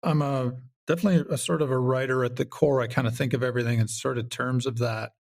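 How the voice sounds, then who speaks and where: A man's voice: mid-range voice, steady tone, quick pace, creaky, darkish tone. A man chatting casually as an interview guest, talkative, rambling and self-deprecating. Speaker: podcast guest, writer and audio director.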